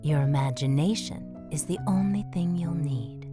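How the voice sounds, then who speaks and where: A woman's voice: low-pitched, measured pace, over music. A woman voicing a tender, patient mother mouse in an audio drama, soothing and wistfully devout. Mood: dreamy, reassuring.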